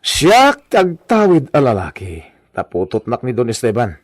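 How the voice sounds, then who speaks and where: A man playing a boisterous, boastful, bossy male character in an Ilocano radio comedy, with an Ilocano accent and a loud, exclaiming delivery. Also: medium pitch, wildly swooping pitch, a little slow, no echo, studio quality. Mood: cocky, scheming.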